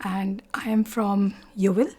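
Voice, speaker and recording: A woman in her forties from India with an Indian accent, telling her story in an interview, softly and haltingly, often pausing to search for words. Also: mid-range voice, fairly even intonation. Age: 40s. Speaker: interviewee, doctor.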